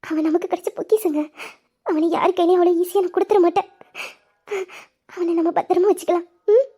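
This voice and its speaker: Woman playing a high-voiced, loving young mother. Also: brisk, dark tone. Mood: tender. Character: gentle, loving young wife and new mother. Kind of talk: melodramatic Tamil audio drama.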